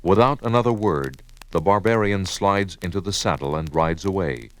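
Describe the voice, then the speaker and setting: A man's voice: deep voice, moderate pace, low-quality recording. A man giving solemn, scripted narration in the style of a 1970s audio drama.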